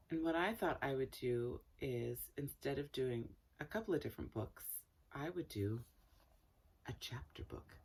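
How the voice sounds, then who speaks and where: A woman with a husky voice reading a children's story aloud and chatting to viewers, with expressive, deliberate phrasing. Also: very deep voice, slight background noise.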